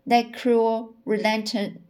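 A woman reading the part of an outspoken, indignant young woman in a storybook, with halting delivery. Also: a little high, monotone, darkish tone, smooth, voice pushed up. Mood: indignant, cross.

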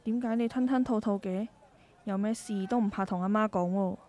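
Woman playing a concerned Cantonese-speaking market mother, mid-pitched. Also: a little fast, dark tone, close to an everyday voice. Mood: caring. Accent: Hong Kong Cantonese. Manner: concerned, reflective. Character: caring, world-weary middle-aged mother running fish stall. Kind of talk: scripted radio drama dialogue.

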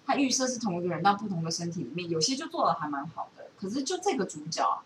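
A woman with a Taiwanese Mandarin accent chatting casually as a podcast co-host, giving animated, opinionated, rambling explanations. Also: fairly low pitch, quick pace. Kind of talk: casual chat about films and series. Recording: roomy, distant mic with steady noise.

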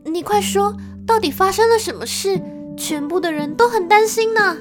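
A woman's voice: very high voice, expressive, moderate pace. A woman playing an anxious, devoted young girl who tells her own gothic tale in a radio drama. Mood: frantic, emotional. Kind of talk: Mandarin radio drama acting and narration. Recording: music under the voice.

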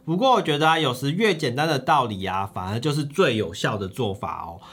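Lively-pitched Taiwanese man co-hosting. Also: fairly quick, husky. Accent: Taiwanese Mandarin. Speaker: podcast co-host. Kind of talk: conversational book discussion about personal finance.

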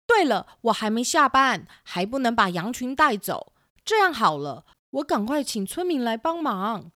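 A woman's voice: a little high, very animated, normal speed, fairly bright tone, no echo, clean studio sound. A woman voicing a plaintive, aggrieved young shepherd boy in a children's audio drama. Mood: earnest. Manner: plaintive, calling out.

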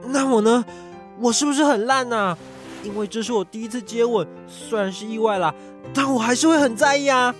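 一名男演员在广播剧里饰演天真迟钝、随和亲人的年轻少爷，语气真诚。. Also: male voice, extremely high pitch, brisk, soft background music. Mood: earnest, anxious. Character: naive, oblivious, boyish young master, affectionate and easygoing. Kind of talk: Chinese audio drama acting.

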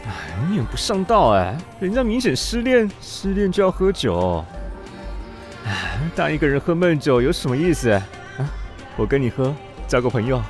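Lively, chummy bar patron. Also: male voice, fairly high pitch, normal speed, over music. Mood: chummy, coaxing. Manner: breezy, familiar, coaxing. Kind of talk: Mandarin radio drama acting.

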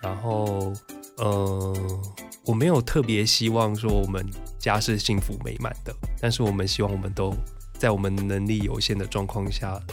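A man's voice: lowish voice, level tone, normal speed, soft background music. A man with a Taiwanese accent, a frank, plain-spoken author chatting casually as an interview guest.

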